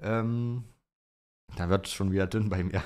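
A man's voice: sits low, steady tone, measured pace, darkish tone, clean tone. A man co-hosting a podcast in casual, opinionated and teasing conversation, mixing in English words. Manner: mixes English words into German. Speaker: podcast co-host. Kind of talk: casual chat about motor racing.

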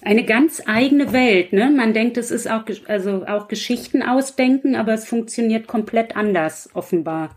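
A woman's voice: on the high side, normal speed, hardly any pauses, a little mellow. A woman chatting casually on a podcast, often checking for agreement with short tag questions. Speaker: podcast participant, author. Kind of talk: casual chat.

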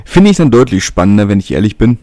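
A man hosts his own podcast alone, talking in a loose, conversational way and often pausing with fillers. His voice sits a little low. The sound is dry and close, with no room around it. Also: very animated, warm tone, dry recording. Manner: casual, thinking aloud with many fillers. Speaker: podcast host. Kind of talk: solo podcast monologue.

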